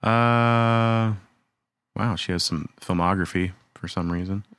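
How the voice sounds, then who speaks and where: A man's voice: fairly low pitch, slow pace, fairly bright tone, smooth, dry recording. A man co-hosting a music podcast, chatting in an easygoing way, joking and laughing a lot. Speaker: podcast co-host. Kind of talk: casual chat.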